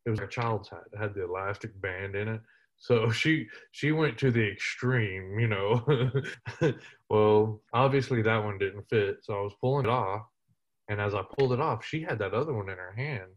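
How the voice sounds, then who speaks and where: Man in his thirties, humorous storyteller, low voice, muffled recording. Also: normal speed. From West Texas. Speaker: interview guest, military veteran. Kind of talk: storytelling in casual interview.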